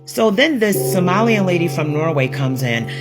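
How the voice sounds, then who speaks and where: A woman with a fairly low voice tells a story in a chatty, amused way. She laughs between her remarks. Guitar music plays clearly underneath her talk. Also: very animated, normal speed, rough recording. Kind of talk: casual storytelling. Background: music playing.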